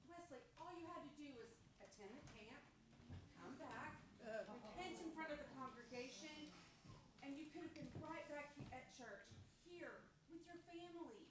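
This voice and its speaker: A woman's voice: sits high, lively intonation, moderate pace. A woman playing a pious, placating churchgoing family member in a staged reading, with an insistent manner. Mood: pleading. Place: large echoey room, live staged reading. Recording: echoey hall, distant mic, clear noise.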